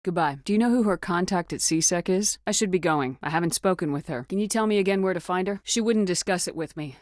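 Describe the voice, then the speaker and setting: A commanding military woman from a sci-fi game, performed by an actress. Her voice sits fairly low, and her delivery is firm, clipped and no-nonsense. The sound is dry and studio-clean, with very little room. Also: fast talker, no echo, lightly acted. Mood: businesslike. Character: tough, commanding female military commander in space. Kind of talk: video game dialogue lines.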